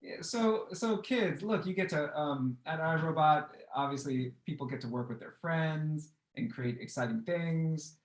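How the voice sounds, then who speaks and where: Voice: mid-range voice, unhurried, few pauses, reverberant, rough recording. A host moderating a class chat, speaking upbeat and turning to the kids directly.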